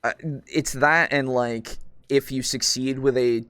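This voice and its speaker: Man, podcast co-host, casual talk, halting and rambling, dry close sound. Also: medium pitch, fairly even intonation, sharp tone, clean tone, no echo. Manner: rambling, halting, searching for words. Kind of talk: casual discussion.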